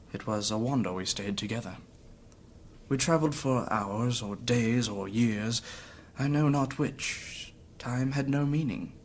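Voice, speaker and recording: A man's voice: medium pitch, slight background noise, close to an everyday voice. A man playing a grave, guarded adventurer in a fantasy audio drama, speaking in solemn, formal, old-fashioned phrasing. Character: grave battle-worn adventurer recounting past horrors, courteous, guarded.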